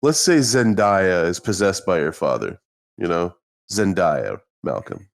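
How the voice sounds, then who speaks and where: A young man co-hosting a casual podcast chat in a teasing, drawn-out way. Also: low-pitched, animated, gravelly. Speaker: podcast co-host.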